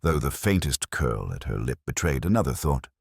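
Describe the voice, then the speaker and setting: A middle-aged man narrating a first-person detective story in a measured, brooding, literary manner. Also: very deep voice, very animated, gravelly, husky, dry recording, studio quality.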